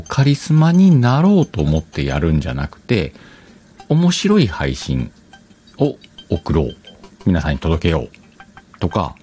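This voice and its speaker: A man's voice: sits low, mellow, slightly rough. A man hosting a casual advice talk, explaining conversationally and questioning his own phrasing as he thinks aloud. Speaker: podcast host.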